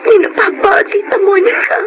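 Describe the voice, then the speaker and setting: Casting: tender young Filipina sweetheart, high voice, apologetic and forgiving, radio drama over music. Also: female voice, brisk, raised voice, highs cut off.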